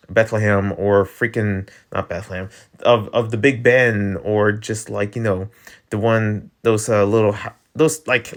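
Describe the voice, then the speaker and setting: Rambling East Coast American guy. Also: on the low side. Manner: rambling, stammering restarts, frequent laughs. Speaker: channel host. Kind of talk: casual rant vlog.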